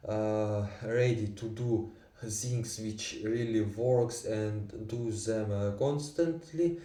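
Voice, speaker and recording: A man who speaks Tatar and Russian natively, talking in halting English with many fillers and restarts in a personal vlog. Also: fairly low pitch, warm tone, roomy sound, recorded from a distance. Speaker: vlogger. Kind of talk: unscripted personal monologue.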